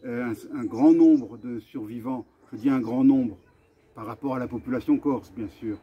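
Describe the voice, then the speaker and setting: Man with dull, slightly husky voice. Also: medium pitch. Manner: deliberate, with halting pauses and repetitions. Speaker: video host and association vice-president. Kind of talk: earnest commemorative address to camera. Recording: dull, muffled lo-fi sound.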